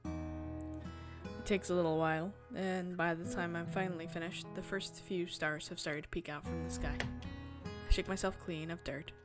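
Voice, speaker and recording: A woman's voice: lowish voice, fairly even intonation, normal speed. A woman streaming a visual novel, reading the narration aloud in a casual way with her own commentary. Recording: game music behind the voice. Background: guitar game music.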